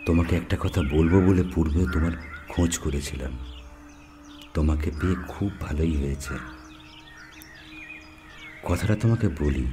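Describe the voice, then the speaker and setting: A man playing an older, secretive, persuasive musician in a Bengali audio story, speaking deliberately with dramatic pauses. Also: low voice.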